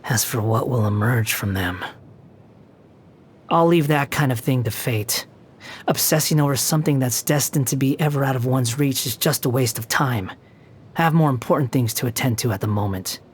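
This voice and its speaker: Male actor as young male game character, arrogant bitter puppet, slightly breathy husky voice, mid pitch, dry and condescending. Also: normal speed, natural voice. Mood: detached, cool. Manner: condescending, sharp-tongued, theatrical, dry scoffing delivery. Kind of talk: video game character voice lines.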